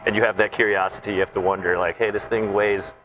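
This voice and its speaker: A man's voice: lowish voice, little pitch movement, fast talker, music in the background, low-quality recording. Thoughtful man explaining flight testing. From Taiwan, raised in Southern California. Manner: thoughtful, explanatory, with frequent "right?" tags. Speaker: naval flight test officer, guest. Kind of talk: interview conversation.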